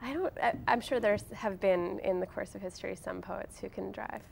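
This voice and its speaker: Creaky-voiced young woman, casual. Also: medium pitch, normal speed, a little hiss or hum. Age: young adult. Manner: informal, hedging, peppered with "like" and "sort of". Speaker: interview guest, author and blogger. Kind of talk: casual conversational interview.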